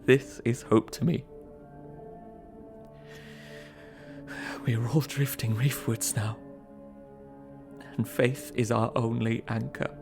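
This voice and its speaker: A man's voice: medium pitch, fairly even intonation, measured pace, creaky, over music. A man playing a tormented, devoted young Victorian husband, earnestly reading his journal in an audio drama. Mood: tender, anxious. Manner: earnest, brooding.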